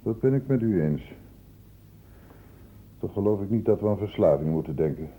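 An actor playing a grave, authoritative male doctor in a radio drama, speaking formally and deliberately. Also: sits low, lively intonation, dark tone. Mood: firm, probing. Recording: narrow, noisy old radio recording.

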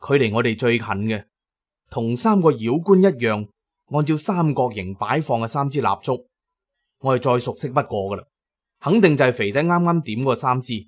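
Guy narrating a dramatic story, mid pitch, first person radio play. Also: lively intonation, moderate pace.

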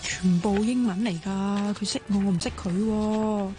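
A woman's voice: medium pitch, fairly even intonation, measured pace, over music, close to an everyday voice. Woman acting a feisty, suspicious young woman. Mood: sneaky, excited. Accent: Hong Kong Cantonese. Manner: blunt, exclamatory, impatient. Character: feisty, suspicious, impulsive young woman turned amateur sleuth. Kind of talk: Hong Kong radio drama acting.

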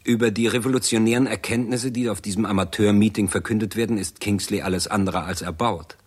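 A man's voice: fairly low pitch, fairly even intonation, fast, slightly rough. A man reading scripted narration for a 1960s radio drama in a formal, documentary-like manner.

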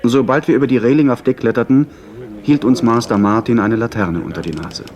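A man's voice: mid-range voice, a little fast, a little mellow, soft background music, natural voice. A man playing an earnest narrator who recounts a sea adventure in scripted radio play style. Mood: measured.

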